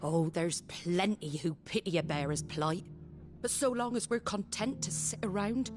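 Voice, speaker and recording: Low female voice, actress playing earthy rebel innkeeper, compassionate, frank, video game drama, music behind. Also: moderate pace, lightly acted. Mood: grave, frank. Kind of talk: video game dialogue acting. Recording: background music under the voice.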